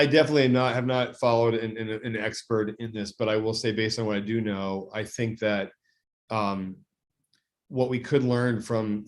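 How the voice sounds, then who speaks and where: A man speaking as a state legislator guest, answering in a measured, deliberate way and often correcting himself. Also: on the low side. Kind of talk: interview answers.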